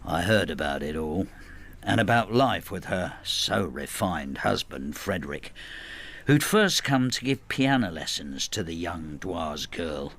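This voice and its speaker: An actor in a radio drama playing a plain-spoken working man in his sixties, telling his story in a measured, understated way. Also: low-pitched, sing-song, a bit bright, full character voice. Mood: reflective. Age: 60s. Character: retired working-class widower, plain-spoken, quietly bitter husband. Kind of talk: radio drama narration and dialogue.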